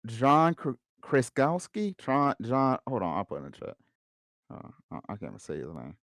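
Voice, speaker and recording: A man of middling pitch with very animated intonation, recorded dry. He chats informally and slangily as a podcast co-host. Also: normal speed, no echo. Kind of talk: casual group discussion.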